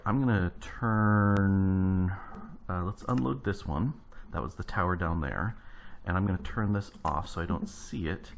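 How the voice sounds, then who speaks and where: Low gravelly man narrating gameplay. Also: slight background noise. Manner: rambling, thinking aloud while building. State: gravelly early-morning voice. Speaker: let's play host. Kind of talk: casual gameplay commentary.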